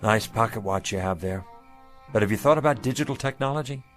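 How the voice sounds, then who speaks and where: A man's voice: lowish voice, fairly quick, a bit bright, soft background music, close to an everyday voice. An actor playing a kindly older male street peddler in a radio drama, folksy, earnest and stubborn. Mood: sly, casual.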